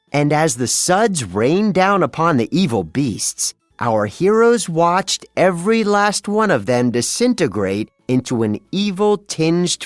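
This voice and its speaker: A narrator reading a children's read-along storybook in an expressive storytelling manner. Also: medium pitch, no echo, studio quality.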